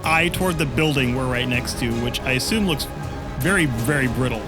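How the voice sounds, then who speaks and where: A man's voice: mid-range voice, fairly quick, low-quality recording. A man playing a tabletop role-playing game, talking informally and thinking aloud about his next move. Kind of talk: casual game-table chat. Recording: loud music bed behind the voice.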